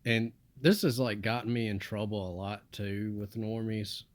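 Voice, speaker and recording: A young man from the United States rambling in a flat, monotone voice with mumbled asides. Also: lowish voice, moderate pace. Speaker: vlogger. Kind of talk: casual rambling monologue.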